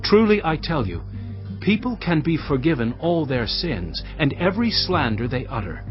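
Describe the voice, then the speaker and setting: An authoritative, earnest teacher from a scripture audio drama. His delivery is emphatic and very varied in pitch, with a mid-range voice. The sound is slightly dull, with music behind him. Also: big pitch swings, moderate pace. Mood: stern teaching.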